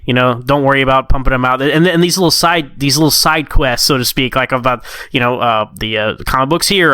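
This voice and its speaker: A man talks quickly in a bright, forward voice as a podcast guest. He is casual and encouraging, and the sound is crisp and dry. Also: medium pitch, no echo. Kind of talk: casual conversation.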